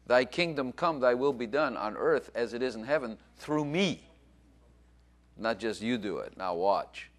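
A man's voice: mid-range voice, animated, unhurried. A man, a pastor hosting the service, preaching urgently with repeated phrases and introducing his guest. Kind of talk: sermon.

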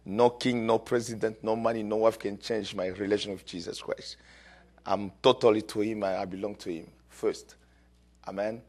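A man from Congo, a guest pastor, sharing a fervent testimony in church, halting often with fillers. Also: fairly low pitch, level tone, a little mellow.

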